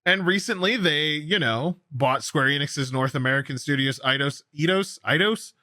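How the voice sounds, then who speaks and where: A man's voice: fairly high pitch, moderate pace, sharp tone, no room sound, studio quality. A man hosting a gaming news show, giving casual commentary in a talkative, explanatory, informal way.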